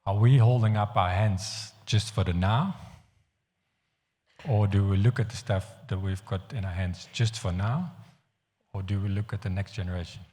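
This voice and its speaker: Dutch male preacher, low mellow voice, earnest and halting, long church reverb. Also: fairly even intonation. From Netherlands. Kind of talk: sermon. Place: church hall.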